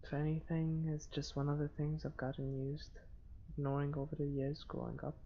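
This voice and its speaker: A man hosting a gaming video, reading visual novel narration aloud in a halting way with stumbles. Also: a little high, little pitch movement. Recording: dull lo-fi microphone, faint noise.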